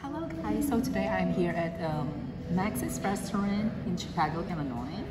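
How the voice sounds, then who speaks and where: A woman's voice: lowish voice, animated, normal speed, background noise. A middle-aged woman with a Filipino accent chats enthusiastically to the camera in a casual food vlog. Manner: enthusiastic, chatty. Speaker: vlogger. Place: busy restaurant dining room. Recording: loud music over the voice. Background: loud music.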